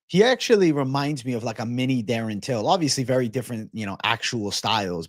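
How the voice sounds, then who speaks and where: A man's voice: medium pitch, dry recording, studio quality. A young adult man from Massachusetts hosting a casual MMA livestream, chatting loosely and animatedly with bro-heavy slang while reading viewer comments.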